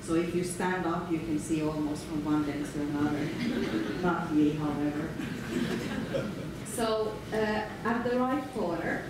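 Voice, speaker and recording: A woman's voice: low voice, measured pace. Finnish-accented woman lecturing in an echoey hall. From Finland. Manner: relaxed, chatty, laughs often, many fillers. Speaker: guest lecturer. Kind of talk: conference lecture. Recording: echoey hall, far from the mic, room noise.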